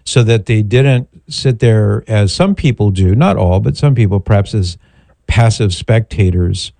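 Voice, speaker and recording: An older man hosts a call-in radio show, answering callers in a measured, reflective and pastoral way. His voice sits fairly low with a mellow, warm tone. The studio sound is close and dry. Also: creaky, dry recording. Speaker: radio talk show host.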